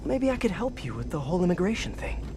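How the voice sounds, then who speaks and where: An actor playing a youthful, kind-hearted superhero in a video game, with an American accent and a casual, wry, friendly way of talking. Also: male voice, high-pitched, very animated, fast talker, over music. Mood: friendly, helpful. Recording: clear background noise.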